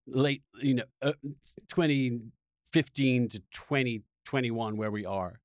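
A man hosting a podcast, asking long, reflective questions in a halting, searching conversational way. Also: medium pitch, no room sound, studio quality. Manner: halting, searching delivery with repeated words. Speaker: podcast host.

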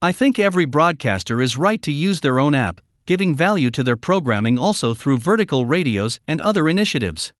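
Male interview guest, slightly high voice, very lively intonation, formal explanatory answers. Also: brisk, hardly any pauses, dry recording, studio quality. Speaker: interview guest, media analytics company representative.

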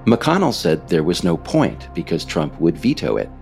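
A man reading scripted political narration as a podcast author, deliberate, with pointed pauses on key phrases. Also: deep voice, huge pitch swings, creaky, a little mellow, faint music behind.

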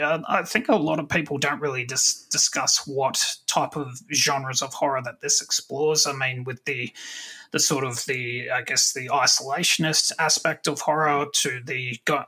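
Late 30s guy hosting a podcast, bright voice, chatty, kinda stumbles finding his words. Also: mid-range voice, low-quality recording. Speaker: podcast host. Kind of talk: casual conversation about films and comics.

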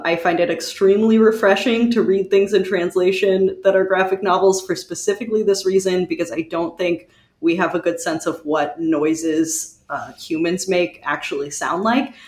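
A woman co-hosting a casual group podcast with a playfully opinionated way of talking. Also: fairly low pitch. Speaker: podcast co-host. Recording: roomy, echoey sound.

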